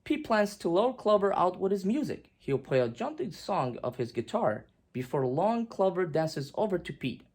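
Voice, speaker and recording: A woman reads a children's picture book aloud with plenty of expression. Her voice is slightly low and husky, and it swings widely in pitch, dropping to a hush and rising again for emphasis. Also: very animated, normal speed, hardly any pauses. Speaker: storyteller reading aloud.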